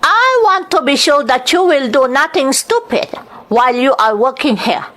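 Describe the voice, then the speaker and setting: Woman playing strict female employer, high-pitched, curt, commanding, threatening, radio drama delivery. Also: animated, unhurried, fairly bright tone. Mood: stern, demanding. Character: stern, bossy female employer of a migrant worker.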